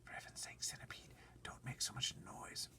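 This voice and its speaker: A man voicing a gentle young boy in a soft, breathy whisper. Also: moderate pace. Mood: hushed.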